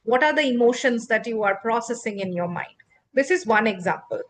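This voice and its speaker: Woman, non-native English speaker, podcast host, teacherly, expressive, high voice. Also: fairly quick. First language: not English. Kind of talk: instructive podcast conversation.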